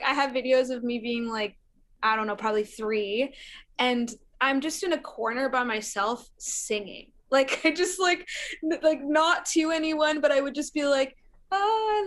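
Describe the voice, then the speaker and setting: Young woman, musician guest, chatty with fillers and laughs, slightly high pitch. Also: fairly bright tone. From Syria. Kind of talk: casual interview chat.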